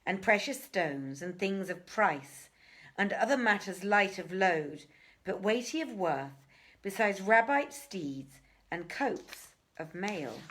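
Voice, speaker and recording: A woman reading an archaic literary tale aloud in a theatrical, measured way with deliberate pauses. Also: medium pitch, a bit bright.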